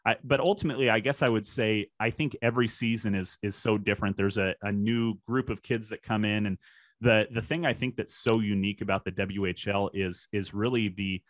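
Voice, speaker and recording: A man's voice: lowish voice, steady tone, a little fast, dry recording. A middle-aged American man talking casually and reflectively as an interview guest, often dropping in "you know". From US, born in California and raised in Washington state. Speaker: podcast guest, sports PA announcer.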